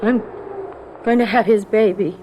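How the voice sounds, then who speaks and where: An actress playing an anguished, apologetic young woman, a professor's daughter, in a radio drama, speaking in a halting, pleading way. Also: mid-range voice, steady tone, lo-fi sound, natural voice. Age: young adult. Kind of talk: American radio drama acting. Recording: radio drama with clear background noise.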